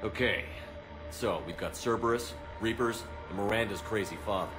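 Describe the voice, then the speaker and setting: Blunt commanding soldier, lowish voice, male actor, music under. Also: normal speed, lightly acted. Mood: determined. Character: hard-edged male military commander.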